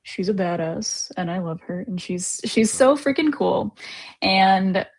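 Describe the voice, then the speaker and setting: A woman's voice: medium pitch, lively intonation, unhurried. A woman chatting casually as a panelist on a cast talk. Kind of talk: casual chat.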